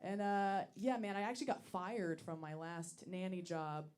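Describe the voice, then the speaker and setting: Woman, late 20s, low voice, dry self-mocking stand-up delivery, lots of ums. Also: normal speed, clean tone. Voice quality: deep voice for a woman. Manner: deadpan, self-deprecating, with many ums. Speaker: stand-up comedian. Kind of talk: stand-up set. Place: comedy club stage.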